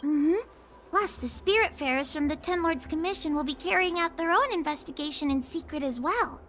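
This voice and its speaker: Very high-voiced, timid young game girl. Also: expressive, a little fast, a bit bright, faint music behind. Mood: earnest. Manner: hesitant, careful, polite. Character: timid, conscientious young girl, anxious but thoughtful.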